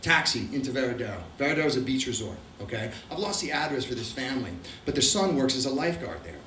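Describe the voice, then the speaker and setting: A man's voice: medium pitch, animated, quick pace, sharp tone, slight background noise. A middle-aged man with an Argentine accent, telling a profane, wry travel story to a live audience. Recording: roomy, distant mic.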